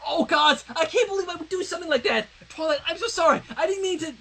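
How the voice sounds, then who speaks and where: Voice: very high-pitched, fast, a bit bright, voice pushed up. A reader voicing an anxious, brainy, lovestruck teenage girl with an earnest, emotional and wordy way of talking in a story reading. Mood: horrified.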